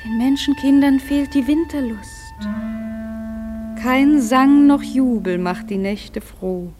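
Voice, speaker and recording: Proud fairy queen, highish voice, indignant, grand deliberate verse, radio drama, music underneath. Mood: grave, accusing. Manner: grand, deliberate declamation with drawn-out words. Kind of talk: classic radio play in verse. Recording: music behind the voice.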